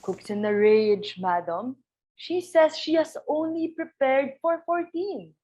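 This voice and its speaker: Composed, dutiful servant played by a woman, high voice, formal and deferential stage-comedy delivery. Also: takes it slowly, dark tone, rough recording. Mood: worried, deferential. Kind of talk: dramatic reading of a stage comedy.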